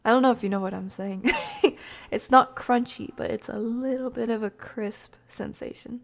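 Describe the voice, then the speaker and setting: A woman's voice: fairly high pitch, steady tone, moderate pace, creaky. A woman hosting a livestream, chatting casually and informally with viewers in a rambling way. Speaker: live streamer hosting a stream. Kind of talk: casual livestream chat with viewers, reading comments.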